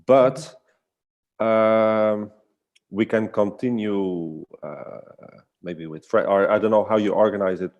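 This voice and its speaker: Very deep-voiced man, thoughtful and hesitant. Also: fairly even intonation, slow, a little mellow, muffled recording. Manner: hesitant, searching for words. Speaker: guest artist and storyteller. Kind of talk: casual reflective online conversation.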